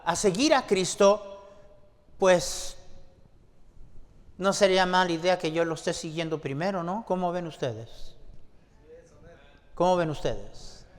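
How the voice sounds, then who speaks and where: A man preaching a sermon to his congregation, deliberate and asking them rhetorical questions. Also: high voice. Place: church sanctuary. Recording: long church-hall reverb.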